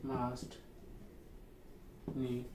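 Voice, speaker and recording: A man's voice: mid-range voice, completely flat delivery, drawn-out words, warm tone. A man with a Bangladeshi accent and Bengali as first language, giving an instructional online class as a teacher, repeating key terms and checking understanding. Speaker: English grammar teacher. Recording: echoey room, distant mic, faint noise.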